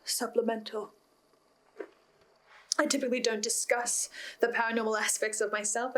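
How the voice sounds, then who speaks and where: A woman delivering a scripted, dramatic video log monologue with ominous pauses for effect. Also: fairly high pitch, fairly bright tone. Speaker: host of a listener's video log. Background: music behind the voice.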